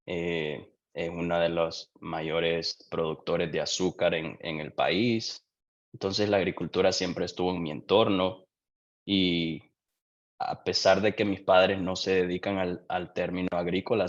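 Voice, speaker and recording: A young man from Honduras, a guest in an interview, answering in a reflective, unhurried way with frequent restarts. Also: deep voice, lo-fi sound. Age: young adult. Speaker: guest, doctoral student.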